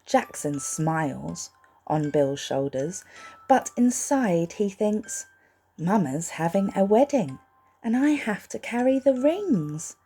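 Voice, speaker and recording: A woman reads a picture book aloud expressively, gently reassuring young listeners and putting questions to them. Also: mid-range voice, big pitch swings, slow, slightly rough, soft background music. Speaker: storybook reader for young children.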